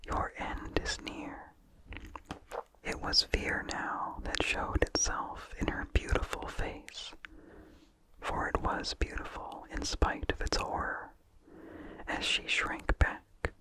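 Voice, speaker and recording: A person reading a vintage horror story as ASMR in a soft, hushed, deliberate whisper with no voice behind it. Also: rough recording. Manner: slow, hushed, deliberate delivery. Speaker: narrator.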